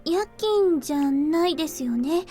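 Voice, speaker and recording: A woman's voice: high voice, lively intonation, fairly quick, mellow. A woman voicing a cheerful, polite young maid with a cutesy manner in a Japanese visual novel. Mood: polite, earnest. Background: background music.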